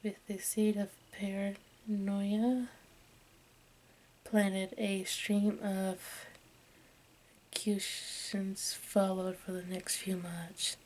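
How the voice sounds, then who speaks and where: Lady doing asmr reading, breathy hushed whisper, pretty flat and slow, stumbles a bit. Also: medium pitch. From United States. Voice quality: soft, breathy whisper mixed with hushed speech. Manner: halting, stumbles over names and words. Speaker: ASMR video creator. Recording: faint background noise.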